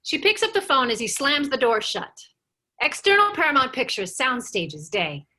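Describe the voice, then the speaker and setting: A woman reads stage directions for a table read in a high, bright voice. Her delivery is animated, moving freely up and down as she narrates. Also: normal speed.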